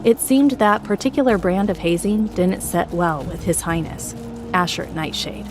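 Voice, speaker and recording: A woman's voice: fairly low pitch, normal speed. A woman narrator reading a scripted, dramatic story with music behind her.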